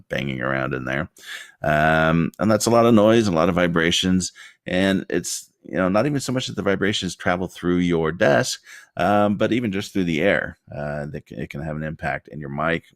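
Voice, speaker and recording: Very deep-voiced man, wry casual tutorial. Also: gravelly, no echo, studio quality. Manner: rambling, filler-heavy, wry asides. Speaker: video creator giving tips. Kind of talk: casual talking-head tutorial. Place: home desk. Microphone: desktop condenser mic.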